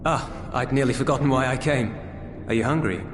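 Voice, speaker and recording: A man's voice: mid-range voice, fairly quick, background noise, natural voice. An actor playing a reserved, kindly soldierly man in a video game cutscene, speaking in a hesitant, earnest, conversational way. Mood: friendly. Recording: roomy echo with music underneath.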